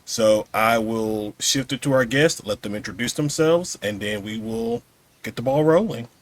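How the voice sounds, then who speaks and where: A man's voice: mid-range voice, expressive, normal speed, gravelly, no room sound. A man hosting a casual podcast interview. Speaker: podcast host.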